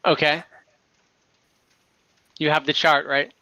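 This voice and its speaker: A man acts as game master in an online tabletop game, improvising scenes and checking in with players. His voice is fairly high and bright. The sound is close and dry. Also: level tone, takes it slowly, no echo, low-quality recording.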